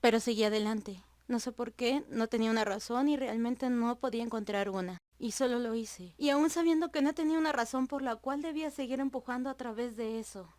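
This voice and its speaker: A woman's voice: a little high, fairly quick, hardly any pauses, darkish tone, lightly acted. A woman voicing a young female animator in a heartfelt, dramatic first-person confession for a fan dub. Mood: subdued, resolute.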